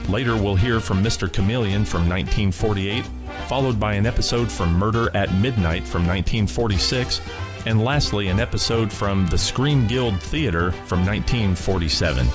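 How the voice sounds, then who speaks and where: A man hosting a radio show, reading a scripted introduction. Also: fairly low pitch. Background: clear guitar music bed.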